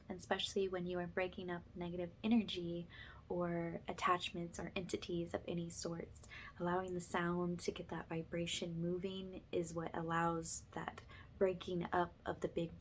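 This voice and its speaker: A soft-spoken woman leading an ASMR guided meditation in a gentle, informal, instructive way, slipping at times into a whispery tone. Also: fairly low pitch, slight background noise. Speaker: ASMR energy healing host and guide.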